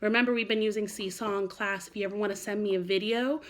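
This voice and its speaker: A woman's voice: mid-range voice, steady tone, fast. A woman teacher reading aloud expressively to young children, with an encouraging manner. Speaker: first-grade teacher.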